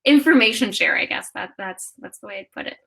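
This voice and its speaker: A woman in her thirties, founder of a company, answering interview questions in an animated way, with many fillers and restarts. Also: mid-range voice, fairly quick, vocal fry, breathy edge. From half Japanese. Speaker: founder and CEO of a clothing brand.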